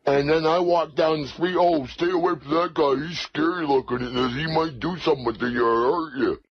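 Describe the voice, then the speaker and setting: A man with a husky voice rambling on a call in a halting, repetitive, confrontational way with odd broken phrasing. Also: on the high side, steady tone. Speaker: prank call caller, played through a soundboard. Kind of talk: rambling phone talk. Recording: dull lo-fi soundboard clips.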